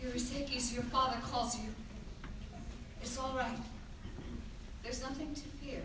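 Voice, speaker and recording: A woman's voice: high-pitched, steady tone, unhurried. A woman playing a devoted captive wife and mother, imploring earnestly in a staged reading of a Greek tragedy. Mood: submissive, anxious. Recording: echoey theater, distant mic, clear noise.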